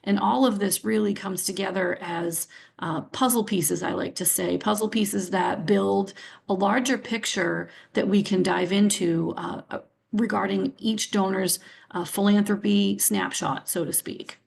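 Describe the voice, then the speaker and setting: A woman's voice: medium pitch, fairly even intonation, unhurried, creaky. Scratchy-voiced woman presenting a webinar. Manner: upbeat and encouraging, with frequent fillers. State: scratchy voice from sinus trouble. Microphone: video call.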